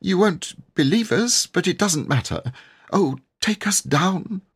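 A man playing a high-pitched pleading little girl. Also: lively intonation, measured pace, slightly rough, full character voice. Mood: frightened, pleading. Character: pleading young girl, polite and frightened.

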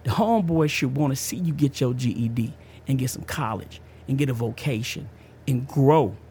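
A man's voice: medium pitch, normal speed, warm tone, a little hiss or hum. A man in his fifties hosting a podcast, giving a casual personal testimony in an emphatic, slangy way, often repeating himself. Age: 50s. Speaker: podcast host.

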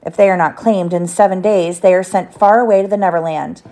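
A woman's voice: fairly low pitch, fairly even intonation, brisk, mellow, close to an everyday voice. A woman voicing an eager, cocky young boy adventurer, blunt and boastful, in a children's storybook read aloud.

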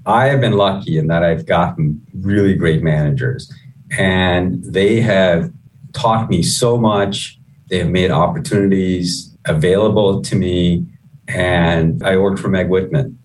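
A middle-aged man with a low voice answers interview questions as an executive. His delivery is measured and conversational, sprinkled with "you know". He sounds somewhat distant from the microphone. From Guyana, moved to the US at eleven. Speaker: chief executive, interview guest.

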